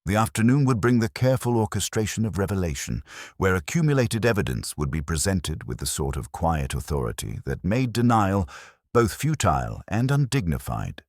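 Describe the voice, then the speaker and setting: A man narrating a mystery audiobook from a script in a measured, formal storytelling manner. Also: deep voice, sing-song, dry recording, studio quality.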